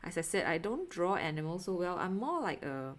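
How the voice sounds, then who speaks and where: A young woman with a Singaporean accent and a voice a little on the low side. She talks casually to a livestream audience while drawing, with halting, giggly, self-deprecating delivery. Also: sing-song. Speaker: art livestreamer.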